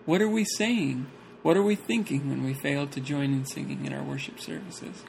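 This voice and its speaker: A man's voice: medium pitch, moderate pace, gravelly, noisy background. A man reading a church talk aloud and chatting casually about it, breaking into jokes and laughter between passages.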